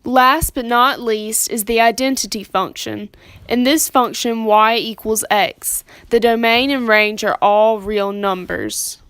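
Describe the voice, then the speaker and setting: A young woman narrates a scripted lesson in a fairly high voice. Her explaining is plain and methodical, and the sound is dry and close. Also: takes it slowly, fairly bright tone, smooth, no echo. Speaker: student presenter.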